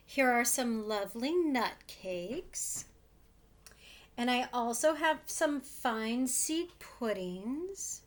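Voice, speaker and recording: A woman voicing a kindly, anxious little man of the mountains in a storybook, gentle, polite and earnest. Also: fairly high pitch, animated, drawn-out words.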